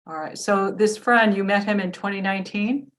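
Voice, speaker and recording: A woman's voice: medium pitch, steady tone, normal speed, a bit bright. A woman hosting a podcast, asking questions in a chatty way with lots of fillers. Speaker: podcast host. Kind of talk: casual interview.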